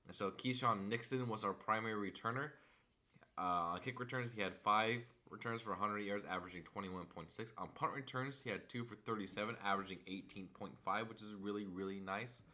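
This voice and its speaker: A hoarse young man, a frustrated fan, recapping a football game and reading off stats in a casual vlog. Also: on the low side, a little fast, sharp tone. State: hoarse from screaming.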